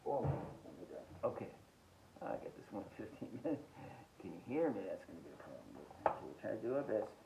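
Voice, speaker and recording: A man hosting a casual, rambling music collection review, listing titles haltingly and giving blunt, short verdicts. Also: fairly low pitch, very animated, gravelly, very noisy. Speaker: host of a music collecting podcast.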